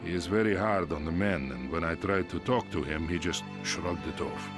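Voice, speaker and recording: An actor playing a thoughtful Soviet officer, gently reading a letter to his wife. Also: sits low, normal speed, over music, close to an everyday voice. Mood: quietly worried. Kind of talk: dramatic letter reading, video game.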